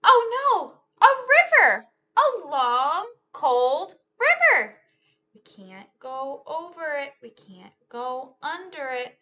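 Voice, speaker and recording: High, very animated woman storyteller. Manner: theatrical, drawn-out key words, shifting between hushed whispers and loud exclamations. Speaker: teacher reading a story aloud for children. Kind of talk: animated children's storytelling with chant and motions. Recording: noticeable background noise.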